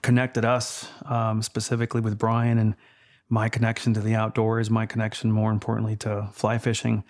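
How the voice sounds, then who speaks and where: A man in his fifties from Texas, Spanish his first language, talking in a measured, reflective way as a podcast guest. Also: on the low side, completely flat delivery, a little mellow. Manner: measured, reflective, with frequent fillers. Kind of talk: casual interview chat.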